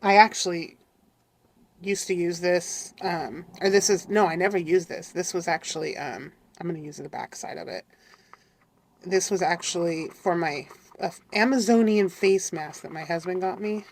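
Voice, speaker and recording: Middle-aged woman host with a cold, congested and raspy, chatting and apologizing through a relaxed crafting tutorial. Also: lowish voice, moderate pace. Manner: chatty, apologetic, talks to viewers while working. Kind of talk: casual craft tutorial chat.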